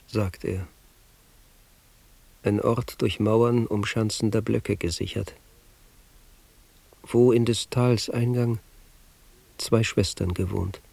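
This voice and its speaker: An actor playing a solemn man who recites an ancient mythic tale in verse, in a grave, declamatory, husky manner for a radio drama. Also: lowish voice, a little mellow, no echo, exaggerated voice.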